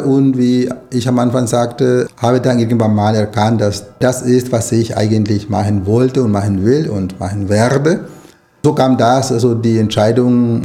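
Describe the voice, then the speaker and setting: Mellow middle-aged man. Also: mid-range voice. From Nicaragua. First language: Spanish. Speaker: interview guest, writer and language lecturer. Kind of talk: conversational interview answers.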